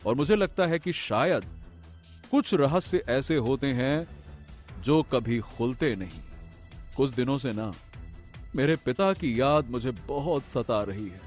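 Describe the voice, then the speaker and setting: Man acting a young prince, earnest, theatrical, animated swings in pitch, dramatic pauses, cartoon storytelling, music behind. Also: lightly acted. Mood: wistful. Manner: theatrical, drawn-out pauses, emphatic repetition. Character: young prince, earnest, brooding, grandly expressive. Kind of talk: Hindi animated moral story dialogue.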